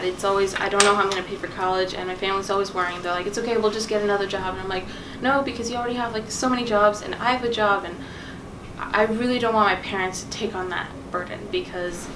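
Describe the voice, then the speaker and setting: Young woman from Venezuela, flat earnest delivery, distant mic, roomy and noisy. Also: mid-range voice. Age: young adult. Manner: earnest, frequent "like" fillers. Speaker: interviewee. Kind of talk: personal testimony. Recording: echoey room, mic at a distance, noise behind.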